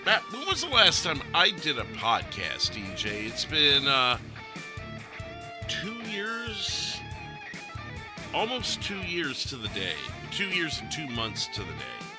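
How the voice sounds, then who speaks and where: Male podcast host, casual livestream chat with lively swings in pitch and halting phrases, over music.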